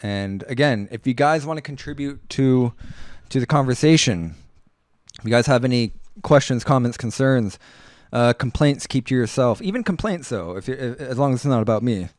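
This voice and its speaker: A man hosting a casual live radio talk show, rambling through listener comments in a halting way and often repeating words and phrases. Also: medium pitch, animated, darkish tone, no room sound.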